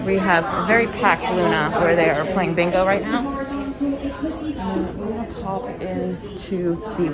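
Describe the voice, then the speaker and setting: A woman walking through a cruise ship, filming and chatting as she points out each spot. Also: medium pitch. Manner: chatty guide pointing things out. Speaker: vlogger giving a ship tour. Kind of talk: walking tour narration.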